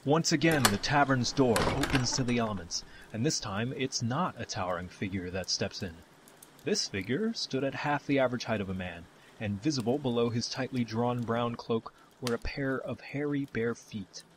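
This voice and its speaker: A man narrating a scripted fantasy story as the storyteller, with dramatic, deliberate phrasing. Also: medium pitch, a little hiss or hum, poor audio.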